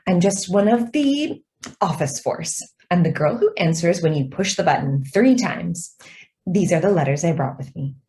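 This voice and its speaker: An actress playing a polite young office stenographer, deferential yet evasive and quietly persistent, in a radio drama. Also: female voice, on the low side, animated, moderate pace, close to an everyday voice. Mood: coy, evasive. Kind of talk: stage-style radio drama acting.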